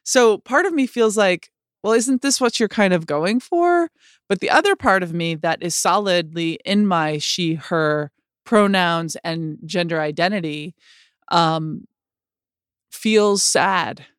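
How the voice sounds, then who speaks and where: A woman with a lower-than-average voice talks openly about her life, pausing and restarting often. Her tone is smooth and clear. The recording is close and dry, with no room sound. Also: no room sound. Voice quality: lower voice than most women. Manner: halting, many likes and restarts. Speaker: guest sharing her experience. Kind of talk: candid conversational talk.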